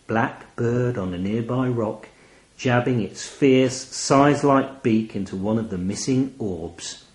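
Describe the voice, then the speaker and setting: A man reading a first-person literary short story in an expressive, measured, wry manner. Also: mid-range voice, reverberant. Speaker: audio story reader.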